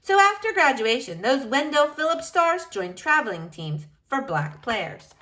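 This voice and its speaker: Bright, animated woman reading a storybook aloud. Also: high voice. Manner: emphatic, dramatic phrasing with drawn-out key words. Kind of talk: expressive children's picture book read-aloud.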